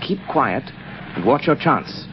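An actor playing a calm, commanding man who secretly fights crime, in 1930s radio drama style. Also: mid-range voice, unhurried. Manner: cool, commanding, precise. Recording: noisy lo-fi 1930s radio broadcast.

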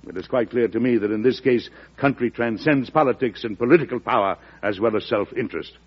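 An actor playing a principled older American president in a radio drama, speaking in a formal, deliberate, oratorical way. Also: male voice, medium pitch, slightly rough, natural voice. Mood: stern, lofty. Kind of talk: 1940s radio drama acting. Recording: dull vintage radio recording.

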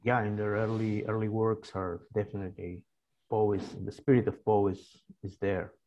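A sixty-year-old man with an Argentine Spanish accent talks through an interview, pausing on fillers and restarting his sentences. His voice is gravelly, and the recording sounds dull and lo-fi. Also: fairly low pitch, level tone, mellow. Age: 60s. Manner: hesitant, many fillers and restarts, laughs easily. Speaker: guest author. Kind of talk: casual interview chat.

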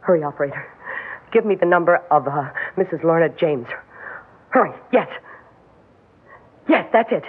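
A woman's voice: lowish voice, expressive, normal speed, long pauses, husky, narrow, tinny audio. An actress playing a frightened, anxious married woman, pleading and defensive, in a vintage radio drama. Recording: band-limited old radio broadcast recording.

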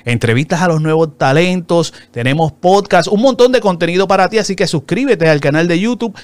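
A man hosting a casual interview show, opening with a lively promo and chatting loosely with his guest. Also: high voice, no echo. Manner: lively, upbeat promo delivery with fast asides.

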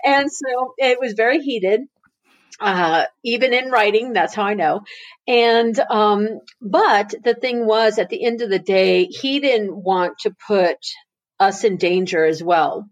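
A woman's voice: mid-range voice, slow pace, highs cut off. Laughing storyteller woman. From Italy. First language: Italian. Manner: laughs often, frequent restarts. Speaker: interview guest. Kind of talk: casual storytelling.

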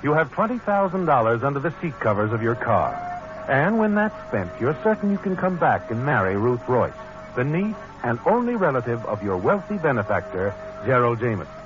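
An actor playing an ominous, knowing narrator who addresses the guilty hero in a 1940s radio thriller. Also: male voice, mid-range voice, very animated, brisk, lightly acted. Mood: knowing, taunting. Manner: knowing, dramatic, addresses the character directly. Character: ominous male narrator needling the guilty protagonist. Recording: muffled old radio recording with music.

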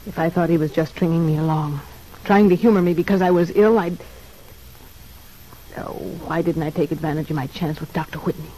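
A woman's voice: deep voice, fairly even intonation, a little fast, mellow, low-quality recording. An actress playing a scheming, short-tempered young woman in prison, with a curt, defensive manner, in an old-time radio drama. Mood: desperate.

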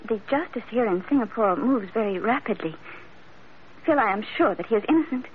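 An actress playing an earnest, composed young Chinese bride in an old radio drama, speaking formally and carefully. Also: female voice, a little high, animated, brisk, a little hiss or hum, natural voice. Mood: pleading, earnest. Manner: formal, careful phrasing without contractions. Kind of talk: 1940s-50s radio drama acting. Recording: tinny, narrow-band old radio recording.

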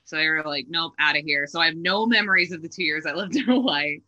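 A woman in her late twenties from Texas, a guest telling her life story with dark humor and lots of "like" fillers. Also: sits low, quick pace. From Texas, USA. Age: late 20s. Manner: dark-humored, many "like" fillers, laughs at own stories. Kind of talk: comedic personal storytelling in conversation.

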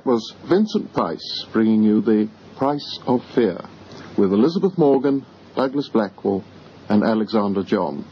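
A man's voice: on the low side, expressive, a little slow. A man working as a radio announcer, reading scripted closing credits. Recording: dull, noisy old radio broadcast.

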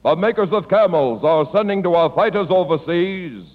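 A man's voice: high voice, expressive, normal speed. A man reading a scripted 1940s radio tribute in a formal, declamatory way. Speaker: radio announcer. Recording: thin, narrow-band old radio recording.

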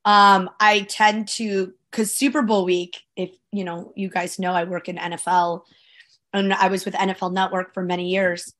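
Raspy-voiced woman chatting. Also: medium pitch, level tone, moderate pace, a bit bright. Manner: chatty, trailing asides. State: raspy, strained voice. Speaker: podcast co-host, sports broadcaster. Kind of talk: casual chat.

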